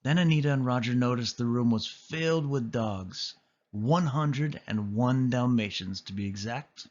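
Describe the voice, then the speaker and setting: A man's voice: mid-range voice, measured pace, darkish tone. Man reading a storybook, slightly muffled sound. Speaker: storybook reader. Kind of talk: expressive children's read-aloud narration.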